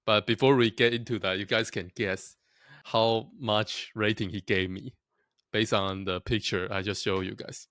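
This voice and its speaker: A man's voice: on the low side, steady tone, moderate pace, a bit bright, no room sound, clean studio sound. A young man from Taiwan talking casually to his viewers, chatty and self-deprecating, often laughing at himself. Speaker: vlogger. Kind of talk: casual talk to camera.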